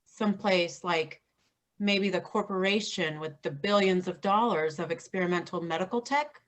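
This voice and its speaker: A woman's voice: lowish voice, normal speed, lightly acted. A woman playing a shrewd, wry engineer in a staged play reading. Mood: skeptical.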